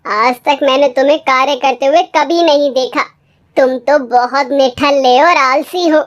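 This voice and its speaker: A high-voiced storyteller voicing a blunt, distrustful village landlord with a disdainful manner. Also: steady tone, a little slow, lo-fi sound. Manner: disdainful, refusing. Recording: music under the voice.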